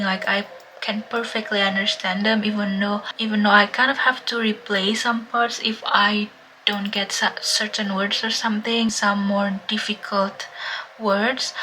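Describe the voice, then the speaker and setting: A woman's voice: medium pitch, pushed and bright, a little hiss or hum, poor audio. A young woman who speaks English as a second language, chatting casually and a bit self-deprecatingly in a home vlog. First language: not English. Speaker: vlogger.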